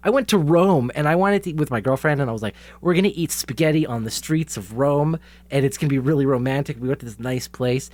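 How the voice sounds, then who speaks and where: A man's voice: medium pitch, fast, few pauses, a little mellow. A man whose first language is French, interviewing a guest in a chatty, casual way full of "like". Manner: chatty, filler-heavy with "like".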